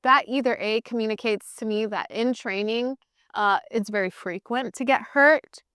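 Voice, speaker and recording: A woman's voice: a little high, moderate pace, clear, resonant tone, no echo, studio quality. A woman from Hawaii whose first language is Pidgin, co-hosting a casual podcast chat with strong opinions. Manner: chatty, opinionated, animated. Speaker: podcast co-host.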